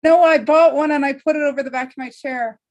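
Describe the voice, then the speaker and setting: A woman's voice: high-pitched, steady tone, fast talker, darkish tone, muffled recording. A woman taking part in a script table read, chatting in an upbeat way over a video call. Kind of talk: casual chat.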